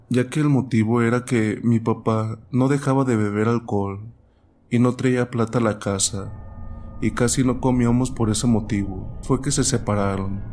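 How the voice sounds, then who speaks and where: A man's voice: on the low side, darkish tone, a little hiss or hum. A man hosting and narrating a show of listeners' stories, reading them aloud in a storytelling style.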